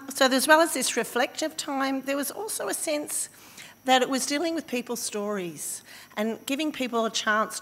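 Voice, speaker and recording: An Australian woman with a slightly croaky voice giving a conference talk, thoughtful and halting with fillers and restarts. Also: medium pitch, a bit bright. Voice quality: slightly hoarse. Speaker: conference speaker. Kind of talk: academic presentation. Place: conference hall. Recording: reverberant conference hall.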